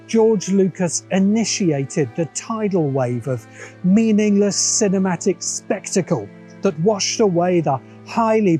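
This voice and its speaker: Mellow, higher-voiced man narrating with dry bite. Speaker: host of a science fiction commentary show. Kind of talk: scripted video essay narration. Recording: music behind the voice. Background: background music.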